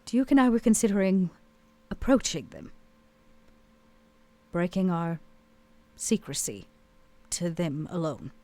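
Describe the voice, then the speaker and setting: A woman playing a haughty, world-weary vampire club owner in a tabletop game, speaking in a measured, dry way. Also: lowish voice, big pitch swings, warm tone. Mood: weary, bitter.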